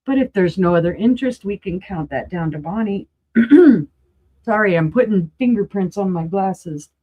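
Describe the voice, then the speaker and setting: A chatty woman hosts a live auction sale, full of enthusiastic asides. Her voice is going hoarse. It sits in an ordinary middle pitch. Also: expressive, moderate pace, narrow, tinny audio. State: losing her voice, clearing throat.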